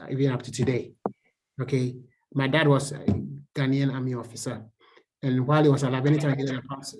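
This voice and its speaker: A man's voice: medium pitch, a little mellow, poor audio. A man in an online discussion asking questions, hesitant and repeating words as he builds his point. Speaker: forum participant asking questions.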